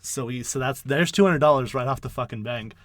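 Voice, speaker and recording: A man hosting a casual podcast, with a brash, low voice and a rambling way of talking full of fillers and restarts. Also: fairly quick. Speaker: podcast host.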